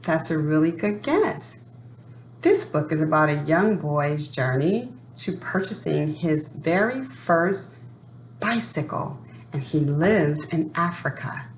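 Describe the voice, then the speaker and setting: A woman presenting and reading a picture book to children in an expressive storytelling style, addressing young listeners directly. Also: low voice. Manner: expressive, asks young listeners questions and pauses. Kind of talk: read-aloud storytelling for children. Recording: roomy sound with faint background noise.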